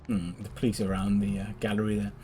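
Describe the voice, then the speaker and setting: A man's voice: fairly low pitch, completely flat delivery, quick pace, dark tone, slight background noise. A man giving casual, joking commentary as he plays and explains a video game, with a wry, playful manner. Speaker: gaming channel player and guide.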